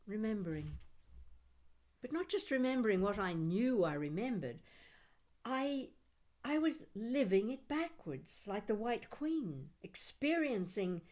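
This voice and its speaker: A mature woman performs poetry at a slow, deliberate pace. The delivery is expressive and lilting, drifting toward half-singing, with a conversational touch in between. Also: medium pitch. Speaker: poet reading their own work. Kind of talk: expressive live poetry recital with chatty introductions.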